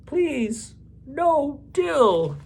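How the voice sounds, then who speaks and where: A man's voice: extremely high pitch, big pitch swings, drawn-out words, gravelly, pitched above the performer's own voice, full character voice. A man voicing a stubborn young child in a storybook, protesting emphatically about food. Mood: pleading refusal. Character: stubborn young child who detests borscht.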